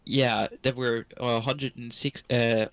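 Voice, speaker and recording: A man from Germany co-hosting a podcast, talking hesitantly and reaching for facts between pauses. His voice is mid-pitched and recorded close and dry, with no room sound. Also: little pitch movement, fairly bright tone, no echo. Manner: hesitant, halting, stops to look up figures. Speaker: podcast co-host. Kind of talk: casual chat.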